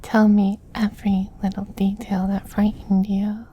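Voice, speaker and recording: A man voicing a dominant but tender femboy vampire queen in a soft, slow, whispery ASMR roleplay, doting on a pet with condescension. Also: sits high, completely flat delivery, husky. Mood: possessive, doting.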